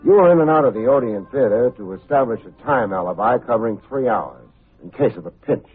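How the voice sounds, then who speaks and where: An actor playing a cool, wry, hard-boiled private investigator who talks and narrates in old-time radio drama style. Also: male voice, mid-range voice, animated, husky. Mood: firm, pressing. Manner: wry, unhurried, wisecracking. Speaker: actor playing the lead detective, also narrating. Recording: muffled narrow-band old radio recording, faint music.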